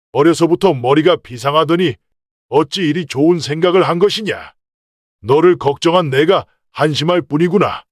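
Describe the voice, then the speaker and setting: A man's voice: fairly high pitch, no room sound, clean studio sound, close to an everyday voice. A man playing a shrewd, proud merchant father in an old folktale, with old-fashioned, authoritative speech. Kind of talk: acted folktale dialogue.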